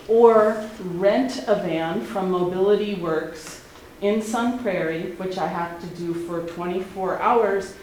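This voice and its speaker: A middle-aged woman, speaking earnestly and haltingly at a public meeting. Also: lowish voice, darkish tone. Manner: earnest, halting, many ums. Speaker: public commenter. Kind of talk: unscripted public comment. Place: community meeting hall. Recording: very echoey hall, faint noise.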